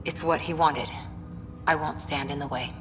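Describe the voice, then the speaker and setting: A woman's voice: low-pitched, steady tone, normal speed, close to an everyday voice. A woman playing a composed, serious senior space crew officer in a video game, sober and direct. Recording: long reverb, music behind.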